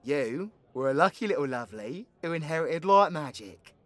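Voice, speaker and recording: The foul-mouthed British supervillain at the end of the pub bar in an audio drama, acted by a man, teasing crudely, higher-pitched and swinging all over. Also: animated, moderate pace, natural voice. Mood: sardonic, explaining. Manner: crude, bantering, slangy. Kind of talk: ASMR audio roleplay acting.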